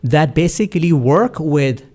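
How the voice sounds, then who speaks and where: A man from Pakistan, a guest community activist, speaking in a measured, reflective way with frequent fillers in a studio talk show. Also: on the high side, animated, mellow. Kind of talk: earnest talk-show conversation.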